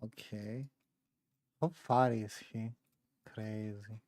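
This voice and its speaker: A woman giving casual gameplay commentary in short, deadpan remarks. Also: a bass voice, takes it slowly, no room sound, clean studio sound. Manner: deadpan, terse. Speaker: gaming streamer.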